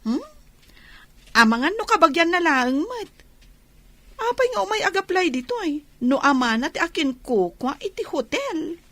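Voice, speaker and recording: A woman's voice: very high-pitched, very animated, normal speed, long pauses, fairly bright tone, dry recording, exaggerated voice. A woman acting a gossipy, teasing lodging worker in an Ilocano radio drama. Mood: teasing, incredulous.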